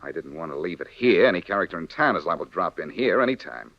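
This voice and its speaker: An actor playing an unflappable, wisecracking reformed-crook detective in a 1940s radio drama. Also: male voice, low-pitched, expressive, fast talker, no echo. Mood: explaining. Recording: dull 1940s radio recording.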